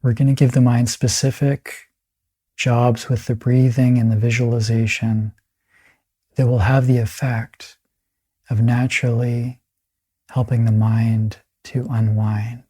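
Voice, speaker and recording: Guy doing a guided meditation, really slow and mellow, soft breathy voice, calm and soothing. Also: medium pitch. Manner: calm, soothing, long pauses between phrases.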